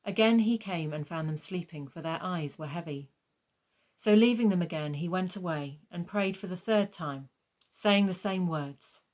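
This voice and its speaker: Female narrator for a scripted gospel reading, voice on the low side. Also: moderate pace, a little mellow.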